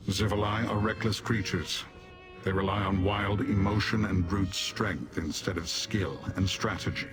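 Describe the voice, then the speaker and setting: A male actor plays a proud demon warrior from a fantasy game with a low voice. He speaks formally and in measured phrases, stern and disdainful toward mortals. Music plays clearly under the voice. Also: fairly even intonation, natural voice. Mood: impatient disdain. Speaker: non-player character in fantasy game. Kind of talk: scripted video game dialogue.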